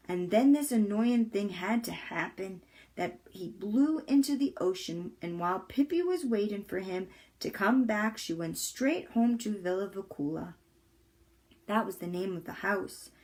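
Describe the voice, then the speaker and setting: A woman reading a children's book aloud as a volunteer, with expressive, deliberate storytelling. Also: medium pitch.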